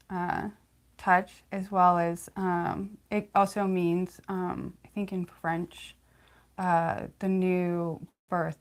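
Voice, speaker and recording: Young woman livestream host, slightly low voice, faint lisp, talks in halting casual bursts with frequent fillers. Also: creaky. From California, United States. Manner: halting, many fillers and restarts.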